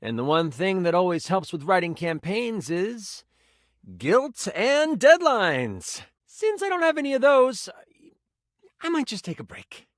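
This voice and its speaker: A man's voice: high-pitched, sing-song, measured pace, dry recording, studio quality. An actor playing an exasperated, sardonic male game master, a living die in a video game, narrating with theatrical flair. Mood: thoughtful. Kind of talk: tabletop game narration with banter, video game voice acting.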